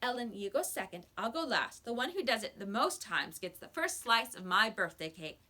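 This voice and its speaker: A woman's voice: on the high side, sing-song, normal speed, bright, forceful tone, exaggerated voice. A woman voicing a haughty, dismissive young schoolgirl in a children's storybook, with a bossy, snubbing manner. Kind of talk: children's storybook read-aloud.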